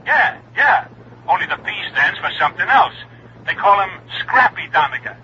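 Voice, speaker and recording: A man's voice: high voice, sing-song, moderate pace. An actor playing an eager, chattering insurance man who repeats himself over a telephone line in an old radio drama. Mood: excited, urgent. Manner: eager, repetitive, barges on without listening. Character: excitable, chattering male insurance man on the phone. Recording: thin, hissy old radio phone call.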